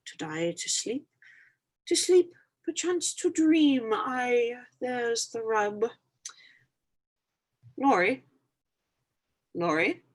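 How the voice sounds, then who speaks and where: A woman's voice: high-pitched, exaggerated voice. A woman playing a stately schoolteacher who declaims verse to a class in a theatrical staged reading. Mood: instructive.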